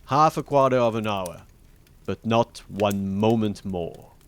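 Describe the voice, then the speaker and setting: A man's voice: fairly low pitch, clean tone. A man performs a menacing, tyrannical husband in a storybook tale. He is stern and commanding, stretching out his words with heavy, merciless emphasis. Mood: cold, merciless. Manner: commanding, drawn-out emphatic shouts. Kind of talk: dramatic fairy-tale storybook reading.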